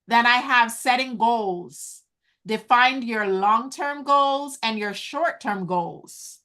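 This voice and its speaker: Woman coach, slow deliberate delivery, fairly high voice, earnest, video call. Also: a bit bright. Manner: earnest, encouraging, addresses listeners as guys. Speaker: business coach hosting an online event. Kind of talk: slow, deliberate teaching talk.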